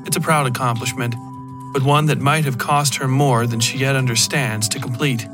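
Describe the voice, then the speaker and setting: A man reading a scripted horror story as a podcast narrator, in a measured, literary delivery with deliberate pauses. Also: mid-range voice, steady tone, music in the background.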